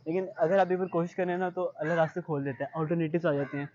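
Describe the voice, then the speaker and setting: A man's voice: fairly high pitch, steady tone, fast, darkish tone, highs cut off. A young man with a Pakistani accent answering interview questions in an earnest, reflective way, mixing in English phrases. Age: young adult. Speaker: interview guest, high-achieving student.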